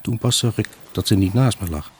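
Husky low-voiced brooding husband. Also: male voice, brisk, a little mellow. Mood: reflective, weary. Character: troubled, brooding husband recounting his unhappy marriage. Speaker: actor narrating a radio drama. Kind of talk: dramatic first-person monologue in a Dutch radio play.

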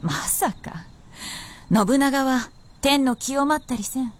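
A woman's voice: high-pitched, animated, slow. An actress playing a knowing young noblewoman who talks in blunt, masculine phrasing with self-assurance, in a radio drama. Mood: cool, musing. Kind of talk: Japanese radio drama acting.

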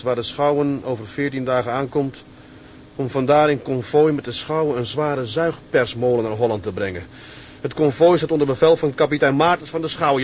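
An actor playing an earnest, forthright young ship's mate in a radio play. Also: male voice, medium pitch, slight background noise, close to an everyday voice.

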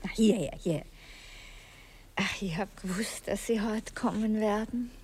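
Seductive, imperious Austrian stage diva. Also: female voice, medium pitch, unhurried, darkish tone, breathy edge. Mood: teasing. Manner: coaxing, teasing, commanding. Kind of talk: 1960s German-language radio drama acting.

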